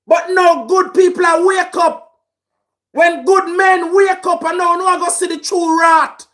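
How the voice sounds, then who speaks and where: A man with a Jamaican accent, shouting through an angry political rant. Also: extremely high pitch, fairly even intonation, unhurried. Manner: shouting, raging.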